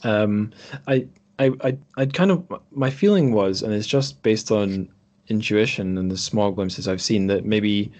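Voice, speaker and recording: A man hosting a podcast in a casual chat, speaking in a thoughtful, halting way. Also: lowish voice, a little mellow. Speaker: podcast host. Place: remote group call.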